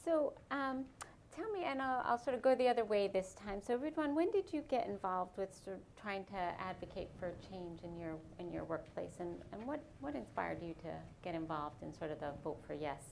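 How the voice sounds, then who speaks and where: A woman's voice: medium pitch, animated, moderate pace, gravelly, hardly any pauses, a little mellow, a little hiss or hum. A woman moderating a panel, asking questions with brief prompts and hedging phrases.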